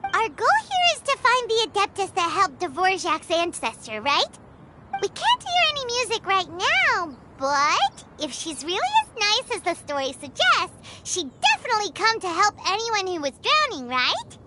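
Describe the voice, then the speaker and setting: A woman voicing a tiny, childlike fairy companion in a video game, squeaky, chatty and bossy, with a theatrical way of talking. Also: wildly swooping pitch, normal speed, very noisy, exaggerated voice. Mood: scheming, insistent. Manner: refers to self in third person, theatrical. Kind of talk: video game character acting. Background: music.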